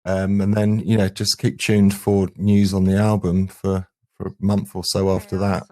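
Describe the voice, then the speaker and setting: A man with a low voice talks casually in an interview. He sounds earnest and keen, like a band frontman explaining his work. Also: completely flat delivery, normal speed, warm tone. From England, UK. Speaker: band singer and guitarist, guest.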